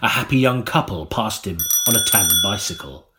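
A man reading a mock-serious gothic story as an audiobook narrator, with a melodramatic, deliberate delivery. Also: fairly low pitch, expressive, heavy background noise. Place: recording studio.

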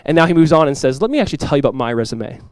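A man's voice: medium pitch, expressive, very fast, a little mellow, dry recording, studio quality. A pastor giving a conversational, earnest sermon, asking his listeners rhetorical questions. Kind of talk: expository sermon.

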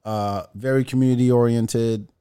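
Middle-aged man, French native, guest on a casual talk podcast, lively storyteller. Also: medium pitch, moderate pace, clean tone. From France. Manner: animated, restarts phrases, laughs easily.

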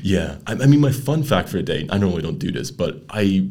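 A young man hosts a casual podcast, rattling along at a quick pace. His low voice is gravelly and a little husky, and it swings widely in pitch as he tosses in playful quips. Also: very animated, darkish tone. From Netherlands. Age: young adult. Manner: chatty, playful asides and quips. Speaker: podcast host.

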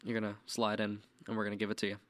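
A man's voice: on the low side, completely flat delivery, racing, fairly bright tone. A young man co-hosting a podcast who sounds slightly nasal from a sore throat and chats in an easygoing, promotional way. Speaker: podcast co-host. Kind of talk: casual chat.

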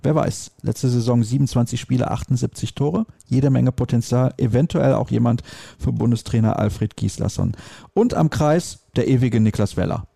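A man's voice: medium pitch, normal speed, vocal fry, dark tone, slightly rough, no room sound. A man hosting a conversational sports discussion, stating his opinions and readily correcting himself. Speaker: sports talk host.

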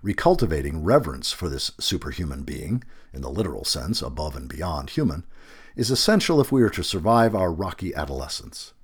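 Male narrator for long-form article. Low register, animated intonation, emphatic and deliberate phrasing. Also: slightly rough. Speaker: narrator reading a magazine feature aloud.

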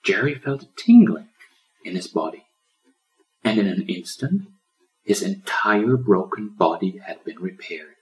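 A man's voice: low voice, very animated, measured pace, rough recording. A man reading a children's story as scripted audiobook narration. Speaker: author reading his audiobook.

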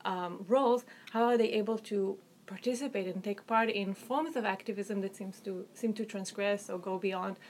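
A woman with an Israeli accent talks through her research in a measured, academic way. She hedges often, restarts her phrases and leans on "um" and "you know" as she thinks. Her pitch sits in an ordinary middle range, and a faint noise hangs under the recording. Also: steady tone, darkish tone. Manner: articulate, hedging with um and you know, frequent restarts. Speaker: author and researcher. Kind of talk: academic interview answers.

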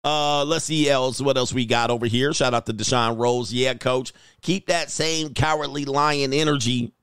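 A man's voice: mid-range voice, fairly even intonation, normal speed, a bit bright, clear, resonant tone, no room sound. A man hosting a casual livestream as a dating coach, reading viewer comments aloud in a joking, rambling way and laughing often. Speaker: dating coach and show host.

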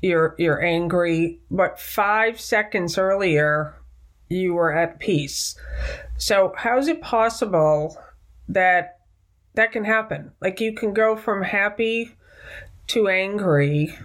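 A woman's voice: medium pitch, slow. A woman co-hosting a talk show, earnestly telling an anecdote in casual conversation. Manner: earnest, tells anecdotes with acted-out quotes. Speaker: podcast co-host. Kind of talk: conversational storytelling.

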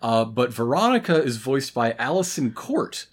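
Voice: medium pitch, sing-song, moderate pace. A podcast co-host chatting casually and comedically, with a wry, playful way of riffing. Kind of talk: casual comedic chat about a cartoon.

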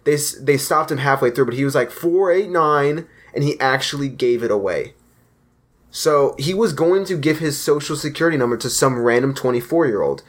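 A young man hosts a podcast in easygoing conversation. He rambles a little, circling back and restarting his thoughts as he goes. His voice sits at an ordinary middle pitch. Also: expressive, moderate pace. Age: young adult. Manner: chatty, rambling, loose fillers and restarts. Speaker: podcast host. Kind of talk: casual podcast chat.

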